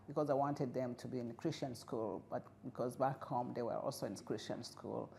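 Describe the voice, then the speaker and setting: Casting: middle-aged woman, grew up in Rwanda, native Kinyarwanda speaker, low mellow voice, reflective and earnest, light noise behind. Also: creaky. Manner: earnest, reflective, pauses with fillers. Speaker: guest, refugee integration expert. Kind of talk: interview conversation.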